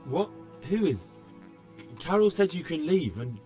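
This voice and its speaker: Earnest, lively young medieval man. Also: a little high, a little slow, soft background music. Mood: eager, concerned. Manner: direct, questioning. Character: earnest, plain-spoken young male errand runner in medieval times. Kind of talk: video game cutscene acting.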